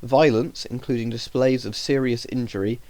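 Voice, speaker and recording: A man's voice: mid-range voice, fairly even intonation, moderate pace, darkish tone. Man with a cold, rambling. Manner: chatty, rambling, frequent fillers; indignant when reading rules aloud. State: has a cold, breathing heavily. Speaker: YouTuber talking to viewers. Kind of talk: casual vlog commentary.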